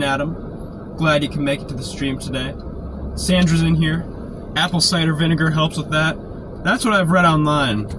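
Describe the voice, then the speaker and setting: A man with a sore throat from a cold, keeping his voice quiet and low while chatting casually on a live stream from inside a parked car. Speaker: storm chaser live-streaming. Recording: noisy car interior. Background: car and outdoor traffic noise.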